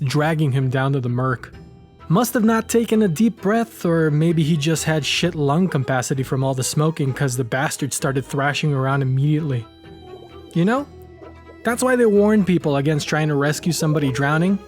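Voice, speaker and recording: A man's voice: on the high side, natural voice. A man playing a cocky, wry tough guy who narrates his own hardboiled noir crime story in slangy language.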